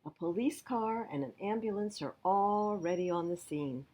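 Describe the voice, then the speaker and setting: A woman reading a picture book aloud to young children as a library storyteller, pausing to explain things simply. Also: medium pitch, animated, a little mellow.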